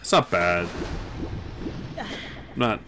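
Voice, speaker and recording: A man with a very low, mumbling voice, casually thinking aloud while he streams a game from his room. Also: drawn-out words. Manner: thinking aloud, relaxed and rambling. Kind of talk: casual gameplay commentary. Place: home room at a desk. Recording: game music playing clearly beneath. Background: game music.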